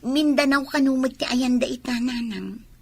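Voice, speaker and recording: Actress as husky-voiced dutiful daughter. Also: female voice, high voice, moderate pace, darkish tone, dry recording, full character voice. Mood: calm, concerned. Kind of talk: Ilocano radio drama acting.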